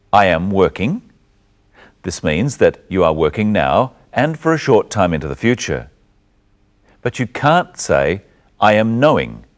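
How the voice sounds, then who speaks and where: A man presenting a scripted English lesson in a deliberate, instructional manner. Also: low voice. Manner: didactic, deliberate explaining.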